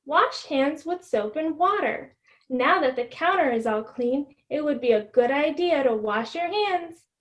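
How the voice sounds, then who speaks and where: Woman reading as a patient high-voiced storybook mom. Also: lively intonation, a little slow. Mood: patient instructing. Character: caring, patient mommy teaching her daughter hygiene, storybook.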